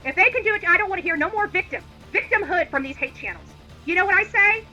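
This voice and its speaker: A woman's voice: very high-pitched, animated, quick pace, bright, forceful tone, soft background music, low-quality recording. A woman livestream host delivering an indignant, opinionated rant in a combative, emphatic and repetitive manner.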